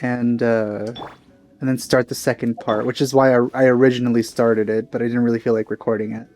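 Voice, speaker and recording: A man's voice: medium pitch, level tone, brisk, a little mellow. Male let's play host, mid-range voice, offhand and rambling casual commentary over game music.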